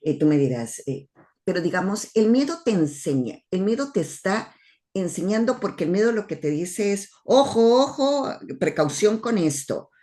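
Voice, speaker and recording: A woman's voice: medium pitch, big pitch swings, moderate pace, dark tone. A woman from Guatemala with a Guatemalan Spanish accent, hosting a casual conversation and often repeating words as she searches for them. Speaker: host.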